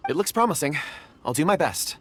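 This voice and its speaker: A man voicing a gentle, curious, polite travelling companion in a video game, mild and expressive. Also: on the high side, fairly quick, heavy background noise.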